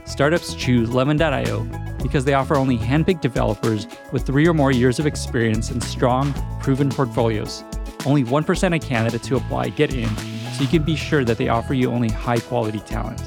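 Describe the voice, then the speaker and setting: A man's voice: medium pitch, fairly quick, music in the background. A man hosting a podcast, reading scripted sponsor spots and asking his guest questions. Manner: smooth scripted ad delivery. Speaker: podcast host. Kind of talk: read ad spots and interview questions.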